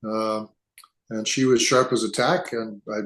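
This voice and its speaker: A man's voice: on the low side, level tone, unhurried, a bit bright. Reflective older man in an echoey room. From England. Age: 70s. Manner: thoughtful, rambling with frequent restarts. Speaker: podcast guest. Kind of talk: relaxed conversational interview.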